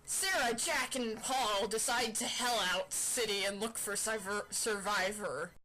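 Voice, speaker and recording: A woman doing a playful mock dramatic reading of fan fiction, deliberately stilted, with asides and computer fan noise behind her. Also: mid-range voice, sharp tone, poor audio. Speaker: amateur reader of fan fiction.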